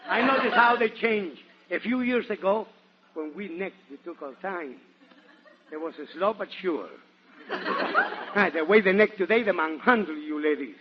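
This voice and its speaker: A man with a Nicaraguan Spanish accent delivers comedy in a theatrical 1940s radio manner. His voice is high and swings widely in pitch. The old recording is dull and muffled. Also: unhurried, a crowd in the background. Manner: theatrical, animated, exclamatory. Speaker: guest comedian and entertainer on a radio show. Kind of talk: 1940s radio comedy monologue before a live audience.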